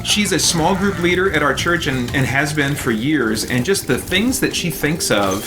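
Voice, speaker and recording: A man's voice: a little high, lively intonation, normal speed, low-quality recording. A man chatting casually as a livestream host, talking in a rambling, encouraging way. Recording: music playing behind the voice. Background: video game music.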